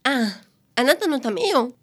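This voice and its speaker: A woman's voice: high-pitched, sing-song, measured pace, heavily acted. A woman playing an alluring, teasing older sister with refined, coquettish speech in a radio drama. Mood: teasing, coy.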